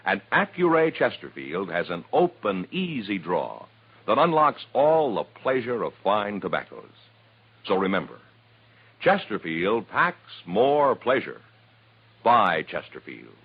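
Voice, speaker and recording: A man's voice: lowish voice, wildly swooping pitch, unhurried, husky. A man reading a scripted 1950s radio advertisement as the commercial announcer. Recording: tinny, narrow old radio recording.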